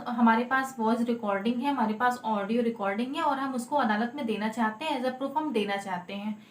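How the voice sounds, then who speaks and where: A woman explaining law informally as an advocate, emphatic, often repeating and rephrasing her key points. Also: high voice. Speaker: lawyer presenting legal advice videos. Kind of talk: informal explanatory legal talk to camera. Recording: echoey untreated room.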